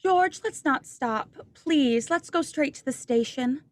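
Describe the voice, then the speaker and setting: A woman's voice: high voice, lively intonation, slow pace, slight background noise. A woman playing a sweet, teasing young small-town woman in love, acted in 1940s radio drama style. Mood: pleading. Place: indoors, recorded over a video call.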